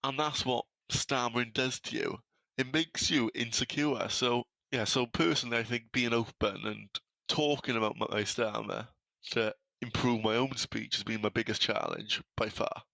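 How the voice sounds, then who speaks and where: A man's voice: medium pitch, fairly even intonation, normal speed, vocal fry, pushed and bright, no echo, poor audio. A young man from South Wales with a Welsh accent, a podcast guest who speaks earnestly and stammers on some words. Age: young adult. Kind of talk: podcast interview.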